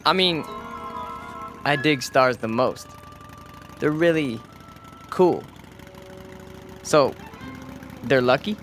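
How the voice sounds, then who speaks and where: Radio drama: awkward young newcomer, unhurried halting delivery, stilted hipster slang, light music bed. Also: male voice, mid-range voice, lively intonation, a bit bright. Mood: awkward, puzzled. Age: young adult. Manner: halting, stilted, swinging from professorial wording to beatnik slang. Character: awkward young leather-jacketed newcomer, stiffly faking hip slang. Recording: music bed and engine sounds behind.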